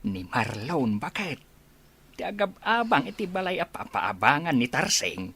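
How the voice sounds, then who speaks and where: A woman's voice: sits low, sing-song, normal speed, fairly bright tone, breathy edge, full character voice. A woman playing an elderly village father, curious and agreeable, in a radio comedy drama. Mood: placating.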